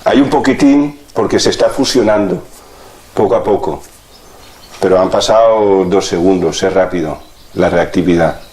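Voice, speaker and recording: Calm man. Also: sits low, normal speed, reverberant, low-quality recording. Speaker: energy healing practitioner. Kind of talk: explaining while demonstrating a treatment.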